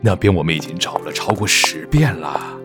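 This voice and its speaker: A man's voice: low-pitched, expressive, moderate pace, loud music behind the voice. A man playing a worried, courteous middle-aged father in a radio drama. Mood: worried, fretting.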